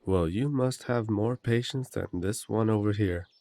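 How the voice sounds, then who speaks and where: A man playing a courteous, protective eldest werewolf brother in an audio roleplay, gently authoritative and polite. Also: lowish voice, a little slow, dry recording. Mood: gentle, reassuring. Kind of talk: roleplay audio drama acting.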